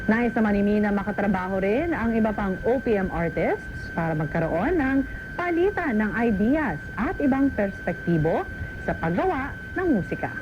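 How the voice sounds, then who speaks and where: A woman's voice: fairly high pitch, huge pitch swings, moderate pace, noisy background. A Filipino woman reading scripted entertainment news as a presenter, heard on a radio broadcast taped to cassette. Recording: dull radio on cassette, loud music.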